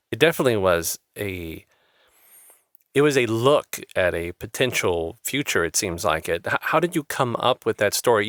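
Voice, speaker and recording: A man with a somewhat low, smooth and resonant voice hosts a relaxed interview. He nods along with "sure, sure" and builds loose questions. The sound is dry and close. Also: no echo. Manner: affirming, with filler-laden questions. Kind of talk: casual interview.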